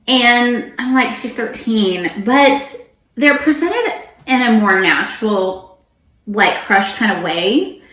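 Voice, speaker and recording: A woman's voice: fairly high pitch, expressive, slow, fairly bright tone, roomy sound, rough recording. A middle-aged woman chatting casually and animatedly to viewers as a book vlogger, often interrupting herself with informal asides. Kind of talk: casual, chatty monologue to camera.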